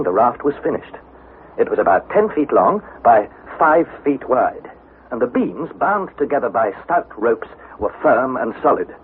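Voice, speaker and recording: Young male adventurer-narrator, played by an actor, formal, highly animated delivery, vintage radio drama, tinny noisy recording. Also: natural voice. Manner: formal, precise, dramatic storytelling. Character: Harry, earnest young adventurer narrating his perilous journey.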